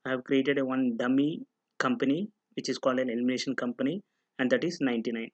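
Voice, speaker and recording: A man narrates a software tutorial as an instructor, explaining step by step and often posing questions that he then answers. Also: medium pitch, fairly even intonation, fast talker, dry recording, dull audio. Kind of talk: screen-recorded tutorial narration. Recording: noticeable background noise with clicking.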